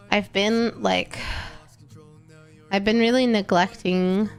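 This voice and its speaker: Congested, gravelly woman streamer chatting. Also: medium pitch. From Texas. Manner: rambling, self-correcting, chatty. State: congested, nasal. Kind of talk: casual chat with live chat.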